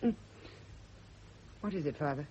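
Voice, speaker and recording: A woman's voice: sits low, lively intonation, unhurried, warm tone, breathy edge, full character voice. A woman playing a stubborn, suspicious spinster in a radio drama, with a curt, accusing manner. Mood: wary. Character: suspicious, stubborn, brittle spinster, hostile to her carer. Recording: muffled old radio drama recording, faint hiss.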